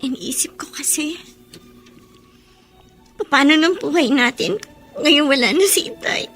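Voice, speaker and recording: A woman's voice: high-pitched, unhurried, a bit bright, faint music behind. A woman playing a stubborn, anxious teenage daughter in an emotional radio drama. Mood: worried.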